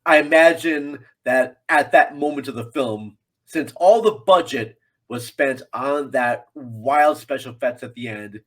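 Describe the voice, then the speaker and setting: Man chatting about film, halting delivery. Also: medium pitch, a bit bright. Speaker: podcast guest film reviewer. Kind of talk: casual film discussion.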